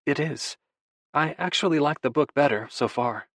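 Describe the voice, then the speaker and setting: Audiobook role: tender young wife, softly teasing and affectionate, lightly raised pitch, played by a male narrator, close dry sound. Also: moderate pace, no echo. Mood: tender, earnest. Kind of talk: audiobook dialogue.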